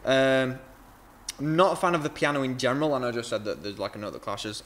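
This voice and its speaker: A man's voice: medium pitch, brisk, a bit bright. Rambling young man reviewing tracks. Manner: rambling, filler-heavy, frank. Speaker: music reviewer, host. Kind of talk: casual review chat.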